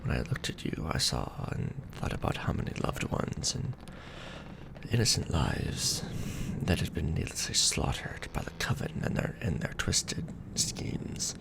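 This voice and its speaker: A man voicing a weary, tender aristocratic vampire lord in an ASMR romance roleplay, murmuring softly and formally, close to the microphone. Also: rumbling low, huge pitch swings, unhurried, background noise, exaggerated voice. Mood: remorseful, tender. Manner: soft, breathy murmuring, formal archaic phrasing. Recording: background music bed.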